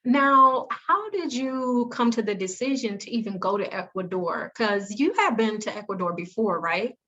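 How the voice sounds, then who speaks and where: A woman's voice: on the high side, expressive, moderate pace, pushed and bright. A woman hosting a casual interview chat, asking friendly questions and giving short backchannels. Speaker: host. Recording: dull lo-fi audio.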